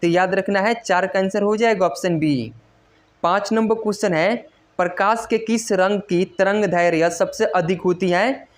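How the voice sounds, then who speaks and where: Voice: mid-range voice, normal speed. Exam tutor drilling quiz answers. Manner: repetitive, instructional, drilling. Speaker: exam-prep teacher. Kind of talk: quiz lesson, reading questions and giving answers.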